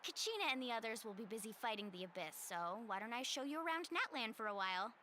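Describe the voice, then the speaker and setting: A woman's voice: on the high side, very animated, fast talker, bright, forceful tone, a little hiss or hum. A woman playing a friendly, confident young warrior in a video game, with an upbeat, easygoing, encouraging manner. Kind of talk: casual video game dialogue.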